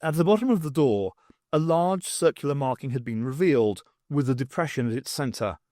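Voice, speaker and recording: A man's voice: mid-range voice, animated, a little fast, mellow, dry recording, studio quality. A man reading scripted, dramatic narration as an audiobook narrator.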